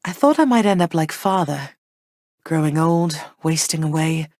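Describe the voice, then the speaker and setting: A woman voicing a gentle, thoughtful goddess queen and mother for a video game, speaking in a soft, measured, slightly formal way. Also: low voice, lively intonation, no room sound, clean studio sound. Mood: tender. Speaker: voice actress for a video game character. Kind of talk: recorded game voice lines.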